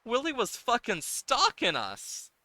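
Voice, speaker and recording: A man live-streaming a game, chatting casually with viewers in an animated, exclamatory way and sometimes swearing. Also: very high-pitched, a little slow, no echo.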